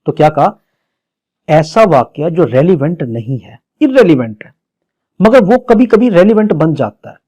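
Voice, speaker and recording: Mellow Pakistani man lecturing, slightly high voice. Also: expressive, unhurried. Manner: didactic, reads phrase by phrase, poses questions and answers them. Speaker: law lecturer. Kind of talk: exam-prep lecture, reading legal text and explaining it.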